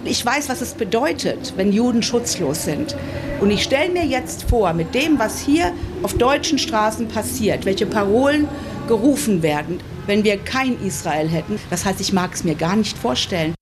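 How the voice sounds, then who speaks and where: A woman's voice: medium pitch, expressive, normal speed. Heartfelt woman in her sixties, noisy backdrop. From USA. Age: 60s. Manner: heartfelt, earnest. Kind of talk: interview statement.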